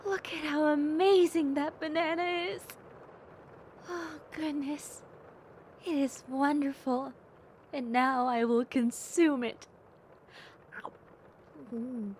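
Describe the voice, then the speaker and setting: A woman voicing a timid, excitable young bat-girl hybrid in a roleplay audio drama, polite and gushing, with hesitant little exclamations. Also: high-pitched, slow pace, pauses often, a little hiss or hum. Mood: delighted, grateful.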